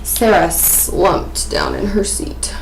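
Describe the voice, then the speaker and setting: A woman in her twenties presenting like a teacher, expressive and questioning, as in an interactive read-aloud. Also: medium pitch, takes it slowly. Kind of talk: interactive picture-book reading and explanation for children. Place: small domestic room indoors. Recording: echoey room, faint background noise.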